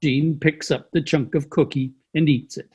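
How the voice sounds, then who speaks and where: A man's voice: fairly high pitch, steady tone, normal speed, darkish tone, breathy edge. A man reading stage directions as scripted narration for an amateur play. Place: online video-call play reading.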